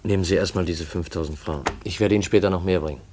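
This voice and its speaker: An actor playing an earnest, brooding young man in a 1950s German radio play, with halting, reflective and intense delivery. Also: low voice, background noise, close to an everyday voice. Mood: polite, probing. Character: earnest, brooding young nephew searching for his dead uncle's secret. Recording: 1950s radio drama recording.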